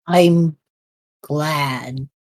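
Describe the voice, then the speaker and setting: A woman's voice: deep voice, very slow, darkish tone, heavily acted. A woman playing a bewildered but supportive young man in an audio drama, casual, hesitant and wry.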